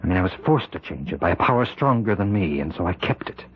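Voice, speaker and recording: An anguished prison warden from an old radio play. The voice is very low and husky, halting and repetitive, full of dread. The muffled recording sounds like vintage radio. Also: male voice, very animated, exaggerated voice. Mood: desperate, warning. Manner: halting, repeating words, anguished. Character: tormented prison warden husband, fearful he is possessed. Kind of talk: old-time radio drama acting.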